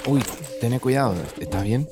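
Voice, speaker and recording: An actor playing an organized, sceptical but caring young best friend with an Argentine accent, speaking informally with dry teasing in an audio drama. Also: male voice, mid-range voice, moderate pace, over loud music, lightly acted. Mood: wary. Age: young adult. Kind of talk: naturalistic audio fiction dialogue.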